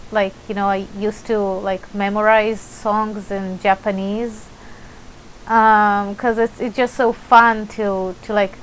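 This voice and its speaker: Young woman, Israeli accent, slow and hesitant delivery with lots of fillers, faint background hiss. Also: mid-range voice, rough recording. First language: Russian. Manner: hesitant, many fillers and restarts, light laughter. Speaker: vlogger. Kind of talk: casual vlog monologue.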